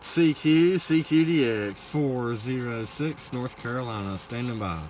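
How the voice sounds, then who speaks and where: A man's voice: medium pitch, unhurried. An American man working as a CB radio operator, making repetitive formulaic calls in operator jargon. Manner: repetitive formulaic call-outs. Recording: very noisy radio shack, loud static. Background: loud radio static and noise.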